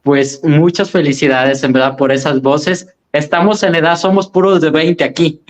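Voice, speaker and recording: A man's voice: on the high side, steady tone, moderate pace. A man speaking casually as a host of an online religious gathering.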